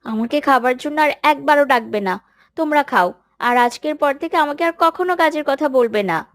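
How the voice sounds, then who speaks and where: A woman's voice: high voice, animated, normal speed, a bit bright, no room sound. A woman voicing a sulky, stubborn little schoolgirl in a children's cartoon. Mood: sulky, complaining. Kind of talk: children's moral cartoon voice acting.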